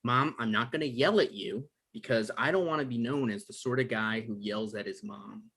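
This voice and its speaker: A man's voice: medium pitch, normal speed, fairly bright tone, slightly rough. A man playing a defensive, self-important novelist who whines and argues, in a table read of a screenplay. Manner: argumentative, exasperated. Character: defensive, self-important male novelist, whiny and quick to anger.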